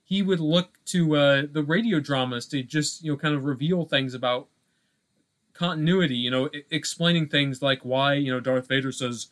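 A man co-hosting a podcast in casual chat, halting and full of filler phrases. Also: a little high. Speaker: podcast co-host.

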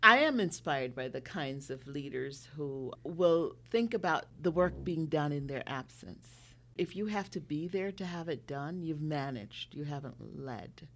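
A middle-aged woman from Jamaica, a school leader guest on a podcast, speaking earnestly and reflectively, slowing down for emphasis. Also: low voice, fairly bright tone. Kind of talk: podcast interview.

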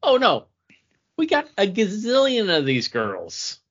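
A man co-hosts a podcast in a loose, conversational style. His pitch moves around a lot as he acts out reactions, and the recording is a little dull and lo-fi. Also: wildly swooping pitch, slow pace, fairly bright tone, slightly rough. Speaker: podcast co-host. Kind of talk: casual chat with personal anecdotes.

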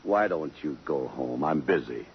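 An actor playing a tough, brooding police detective in 1950s American radio drama, curt and hard-boiled. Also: male voice, rumbling low, animated, measured pace. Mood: curt, needling. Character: tough, brooding police detective lieutenant smitten by a murder victim. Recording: muffled, noisy 1950s radio recording.

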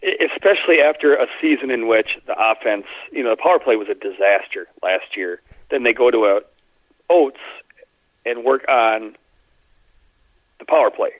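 A man chatting about sports on a podcast, lively and quick to imitate the people he talks about. His voice comes through a narrow, telephone-like band and sounds close and dry, with no room around it. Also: mid-range voice, no room sound. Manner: animated, mimics players' quotes in asides. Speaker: podcast co-host. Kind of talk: casual sports chat.

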